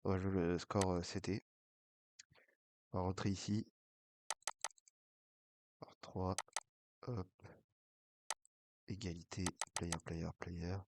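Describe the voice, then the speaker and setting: A deep-voiced man with a head cold talks in a flat, even tone, his words thick and nasal from a blocked nose. He narrates a casino session casually and drifts into mumbling to himself as he clicks through bets. A clear hiss runs under the recording. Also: takes it slowly, long pauses. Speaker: online casino streamer. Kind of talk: casual play-by-play of an online baccarat session.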